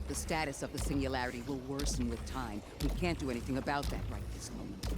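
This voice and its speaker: An earnest, scholarly guide, played by a man briefing a soldier in a video game. The delivery is articulate and analytical with an urgent edge. Loud ambience and engine rumble sit behind the voice. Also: lightly acted. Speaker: mission guide. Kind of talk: scripted video game dialogue.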